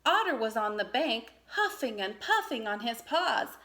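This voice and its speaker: A woman reading a children's story aloud in an expressive narrating style. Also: a little high, a little slow. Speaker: storybook reader.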